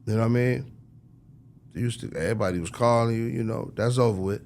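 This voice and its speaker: A man's voice: mid-range voice, fast, darkish tone, a little hiss or hum. A man talking casually as a record executive in an interview, emphatic and slangy, repeating phrases for effect. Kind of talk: casual, animated interview talk.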